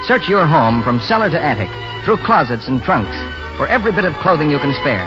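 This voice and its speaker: High-voiced American 1940s radio announcer man. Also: sing-song, a little fast, over music. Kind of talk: scripted 1940s radio announcing and sponsor pitch. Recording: muffled 1940s radio broadcast recording.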